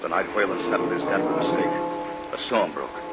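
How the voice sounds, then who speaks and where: An actor playing an uneasy young lab assistant in an old radio horror play, speaking gravely and apprehensively. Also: male voice, lowish voice, huge pitch swings, moderate pace, loud music behind the voice. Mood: somber. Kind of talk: old-time radio narration and acting. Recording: vintage radio recording, heavy rain noise.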